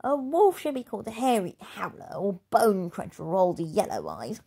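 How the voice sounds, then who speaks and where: A woman's voice: mid-range voice, wildly swooping pitch, normal speed, vocal fry, mellow, husky, full character voice. A woman voicing a rude, mean little girl bully in a storybook read-aloud, brash, mocking and crude. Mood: mocking, loud.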